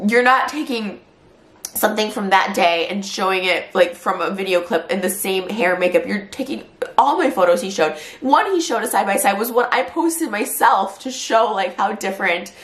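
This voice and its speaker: A woman in her thirties, short on sleep and hurt, talking fast in a response vlog and filling her speech with "like". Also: medium pitch, animated, a bit bright, reverberant. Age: 30s. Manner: tired, hurt and fast-talking, with many "like" fillers. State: short on sleep, near tears later. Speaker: YouTuber.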